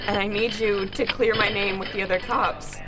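A woman playing an earnest, insistent young prisoner who pleads haltingly in an improvised old-time radio play. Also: medium pitch, steady tone, over loud music, natural voice, poor audio. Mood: urgent, pleading.

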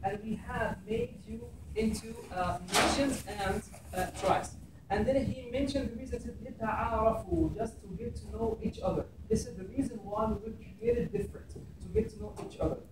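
A man in his thirties with an Egyptian accent speaks quickly at a public talk, reciting scripture and then explaining it in English. He is recorded from far off in an echoey classroom, with steady background noise. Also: high-pitched. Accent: Egyptian Arabic. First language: Arabic. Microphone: far camera.

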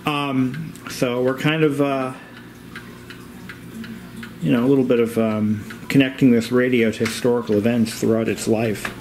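A man narrating a vintage radio repair in a casual, rambling, halting and plain-spoken way, as a hobbyist vlogger. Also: mid-range voice, fairly even intonation, a little mellow. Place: indoors in a home. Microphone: handheld camera, operator behind it. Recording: clear background room noise.

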